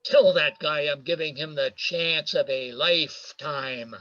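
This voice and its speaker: Radio drama: male actor as a jovial, teasing big shot, high-pitched and slow, hearty in his boasting. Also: pushed and bright. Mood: smug. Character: brash, jovial male businessman, George's old pal. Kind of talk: amateur radio play acting. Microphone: video call. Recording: muffled video call audio.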